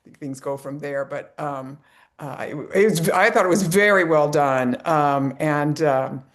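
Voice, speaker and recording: A medium-pitched voice in an informal group conversation about films. The speaker talks in a halting way, doubling back and restarting phrases as the recommendation unfolds. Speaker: film club member. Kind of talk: casual group discussion, informal film recommendation.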